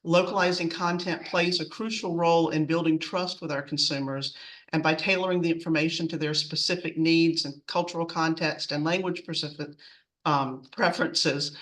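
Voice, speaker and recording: A woman's voice: low voice, a bit bright, far from the mic. A woman presenting in a semi-scripted, businesslike way as a customer speaker on a webinar.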